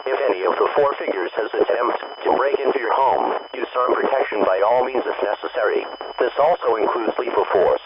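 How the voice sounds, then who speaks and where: Through dull, hissy radio sound: male alert announcer, formal impersonal scripted read, medium pitch. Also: a little fast. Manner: flat, impersonal reading. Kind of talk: formal scripted emergency broadcast. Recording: muffled noisy radio alert with hum.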